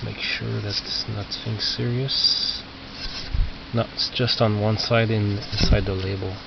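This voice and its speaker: A man who is not a native English speaker, casually talking through his collection as a record collector, halting and muttering as he reads out labels, on a cheap video camera microphone. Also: lowish voice, little pitch movement, gravelly. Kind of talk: casual narrated show-and-tell of collection. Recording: muffled cheap video camera, loud noise.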